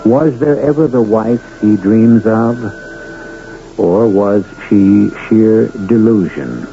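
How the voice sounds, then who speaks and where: Older style radio mystery narrator guy, low and slow, portentous, music behind him. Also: creaky, dark tone. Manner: portentous, deliberate storytelling. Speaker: host and narrator. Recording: dull 1970s radio recording.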